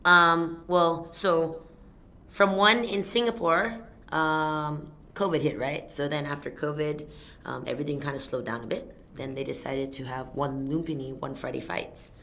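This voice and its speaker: A young Thai woman, a fight event announcer, chatting casually in an interview, telling animated stories with frequent laughs. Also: deep voice, a little slow, slight background noise. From Thailand.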